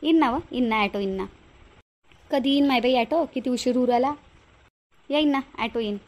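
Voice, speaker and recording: Woman voicing a cautious village leader lady, high voice, quick, rural Vidarbha Marathi accent, homely, questioning, cartoon dialogue. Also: natural voice. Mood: chatty.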